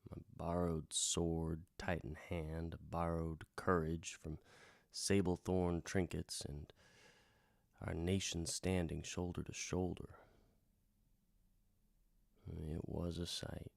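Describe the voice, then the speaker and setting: A man playing a weary, gentle, wry wandering bard who tells tales in an unhurried, musing way with trailing pauses, in the style of a radio drama. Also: low voice, level tone, gravelly, dry recording, studio quality, full character voice. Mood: wistful, wry. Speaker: host and bard of a radio hour. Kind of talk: in-character radio drama storytelling.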